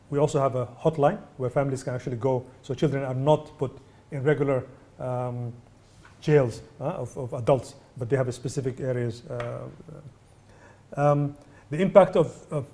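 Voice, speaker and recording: A man from Sudan, a UNICEF representative, answering press questions in a measured way with many hesitations. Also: mid-range voice, warm tone. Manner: measured, many ums and uhs, restarts. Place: indoor venue.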